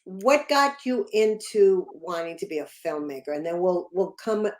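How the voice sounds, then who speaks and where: A woman's voice: lowish voice, moderate pace. A woman hosting an interview show, introducing her guest and asking questions. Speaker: interviewer and talk show host.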